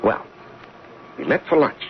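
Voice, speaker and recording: A man's voice: a little slow, close to an everyday voice. An actor playing a flustered, incredulous and honest middle-aged American adman in an old-time radio drama, hesitant and prone to exclaiming. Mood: curious, grumbling. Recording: muffled radio broadcast recording with music.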